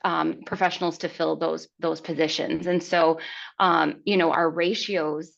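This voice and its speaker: A woman's voice: deep voice, little pitch movement, unhurried, creaky, a bit bright. A woman, a rural school principal, testifying on a web panel in an earnest, explanatory way, with "you know" fillers. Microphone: video call. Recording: dull lo-fi video call audio.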